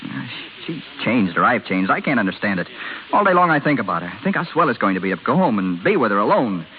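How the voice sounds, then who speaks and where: Tinny hissy old radio, bitter young working husband, agitated, slangy, swinging pitch. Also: male voice, very animated, quick pace. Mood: bitter, dejected. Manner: agitated, self-pitying, slangy. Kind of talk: 1930s-40s radio drama acting.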